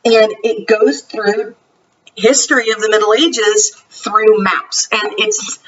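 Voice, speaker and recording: A woman's voice: fairly high pitch, takes it slowly, fairly bright tone, recorded from a distance, lo-fi sound, a little hiss or hum. A woman talking casually and enthusiastically, like a homeschool vlogger reviewing books. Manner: chatty, enthusiastic. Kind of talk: casual vlog book review.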